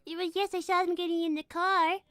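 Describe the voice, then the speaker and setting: A woman's voice: very high-pitched, little pitch movement, a little fast, fairly bright tone, dry recording, studio quality. A woman voicing a timid, polite young cartoon rabbit girl who is apologetic and prim in improvised comedy chat.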